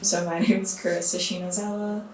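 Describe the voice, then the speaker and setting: A woman's voice: fairly low pitch, level tone, a little fast. A woman from Hawaii answering interview questions in a thoughtful, easygoing way. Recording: distant mic in echoey room with background noise.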